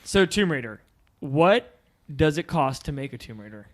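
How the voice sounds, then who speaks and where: A man's voice: fairly high pitch, expressive, moderate pace. A man hosting a film podcast, chatting casually and putting questions to his co-hosts. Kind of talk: casual chat.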